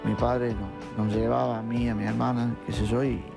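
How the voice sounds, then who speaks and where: A man in his sixties with a Córdoba Argentine accent, recalling his childhood in an interview with halting repetitions. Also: medium pitch, flat delivery, vocal fry. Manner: halting, repeating words as he recalls. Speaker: interviewee, engineer. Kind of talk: reflective interview. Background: music.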